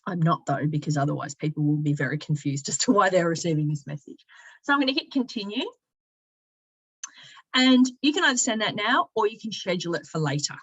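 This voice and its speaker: A woman giving a conversational software walkthrough as a company presenter, explaining each step informally. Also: fairly low pitch, expressive, a little fast, husky, no echo, muffled recording. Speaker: sales and marketing director giving a product demonstration.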